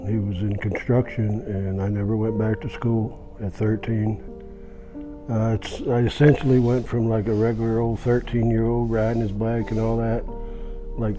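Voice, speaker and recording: A man telling his recovery story in a halting, reflective way, trailing off between thoughts. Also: fairly low pitch. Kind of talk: personal recovery testimonial. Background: soft guitar music.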